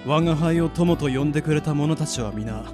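Man acting a pompous high-pitched demon lord. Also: a little fast, music in the background, lightly acted. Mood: grave, resolute. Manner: grandiose archaic speech, declaiming. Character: pompous ancient demon lord, a proud fatherly male magician. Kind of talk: Japanese anime-style radio drama acting.

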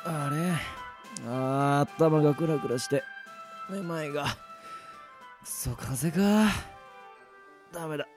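An actor playing a flustered young office worker in a radio drama, blunt and casual, muttering and exclaiming. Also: male voice, a little high, slow, pauses often, over music. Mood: dizzy, groggy. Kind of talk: Japanese radio drama acting.

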